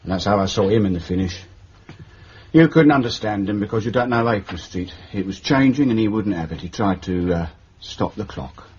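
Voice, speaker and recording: An actor playing a stubborn, respectful working-class undertaker in a radio drama, self-justifying and folksy. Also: male voice, fairly low pitch, lively intonation, brisk, a little mellow, breathy edge, highs cut off. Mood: defensive, wry. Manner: deferential, self-justifying, folksy turns of phrase. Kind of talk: British radio drama acting.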